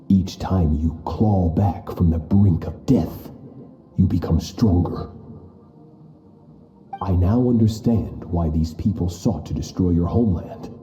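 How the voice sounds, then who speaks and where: Brief: a ruthless master swordsman, very deep and dark in tone, slow and deliberate, with a roomy echo and music underneath. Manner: cold, measured, menacing lecturing. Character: stern, merciless older male sword master. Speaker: game character. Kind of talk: video game cutscene voice acting.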